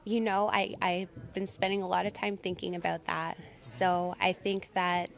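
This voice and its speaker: A woman's voice: fairly low pitch, level tone, unhurried, creaky. A woman speaks as the creator of a product in an interview. Her delivery is conversational, with an upbeat, enthusiastic way of explaining things.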